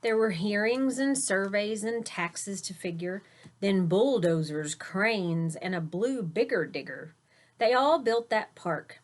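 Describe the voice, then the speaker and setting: A woman reading a children's picture book aloud like a teacher, with deliberate emphasis and short asides to her listeners. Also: mid-range voice, expressive. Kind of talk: expressive read-aloud storytelling for young children.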